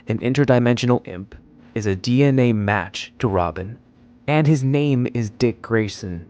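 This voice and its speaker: A man's voice: fairly low pitch, darkish tone. A man delivering scripted narration as the presenter of a video essay.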